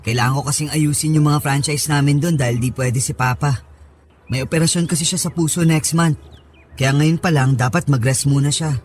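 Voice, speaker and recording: A man's voice: fairly high pitch, steady tone, brisk, faint music behind, natural voice. A man playing an affectionate young husband in a radio drama, talking in a casual, coaxing, reassuring way.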